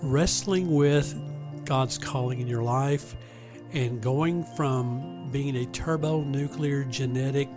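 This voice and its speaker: A man leads a relaxed podcast interview in a folksy, joking way, stretching words out as he goes. Soft music plays faintly beneath him. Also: medium pitch. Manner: folksy and joking, drawn-out delivery. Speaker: university president and podcast host.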